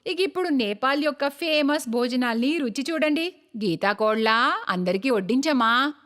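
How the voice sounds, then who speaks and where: A woman playing a gracious middle-aged mother-in-law in an animated moral story, polite and appreciative. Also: high voice, moderate pace. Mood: pleased.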